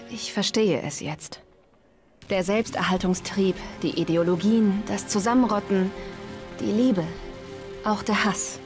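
An actress plays a warrior woman in a sci-fi video game. Her voice sits at a medium pitch, and she speaks formally and deliberately, like a logical mind learning to feel. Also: lightly acted. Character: earnest, formal warrior woman, logical but newly emotional. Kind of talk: video game dialogue acting.

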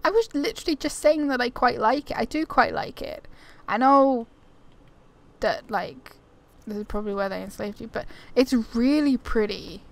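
A young woman with a fairly high voice chats casually through a game playthrough. She rambles informally in a giggly way, with game music playing behind her. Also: normal speed. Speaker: gaming video host.

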